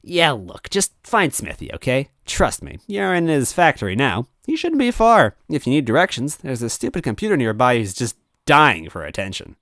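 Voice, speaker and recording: A man's voice: medium pitch, sing-song, fairly bright tone, no echo, studio quality, voice pushed up. A man voicing a mischievous, taunting male ghost in a story reading, with slangy, teasing delivery. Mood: impatient, dismissive. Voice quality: distorted. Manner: teasing, slangy, drawn-out exclamations.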